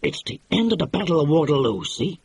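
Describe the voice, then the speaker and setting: A man's voice: on the high side, big pitch swings, fairly quick, a little mellow, breathy edge, no echo, exaggerated voice. A man reading an audiobook and voicing a brash, pushy middle-aged movie mogul who talks in slang. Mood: boastful, excited. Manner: slangy, pushy, exclamatory, keeps saying "see". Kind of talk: audiobook character voice.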